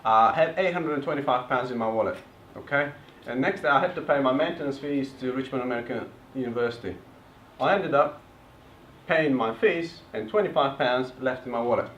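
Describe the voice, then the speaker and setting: A man's voice: mid-range voice, brisk, fairly bright tone, slight background noise. Bulgarian-accented young male presenter, earnest plain delivery, distant mic in a reverberant function room. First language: Turkish. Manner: earnest, plain speech, sometimes broken grammar. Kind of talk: public talk telling his story. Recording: far-off, echoey, dull room recording.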